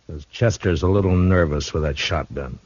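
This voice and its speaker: An actor playing a steady, shrewd frontier marshal in a 1950s radio drama, speaking in a calm, dry, measured way. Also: male voice, low-pitched, breathy edge. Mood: calm, probing. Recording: dull old radio broadcast recording.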